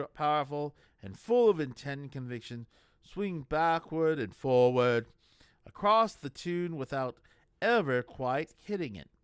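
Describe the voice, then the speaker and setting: A man narrating a comic fantasy novel aloud, deliberate, with small slips and restarts. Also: mid-range voice, no echo. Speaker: audiobook reader. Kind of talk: storybook narration.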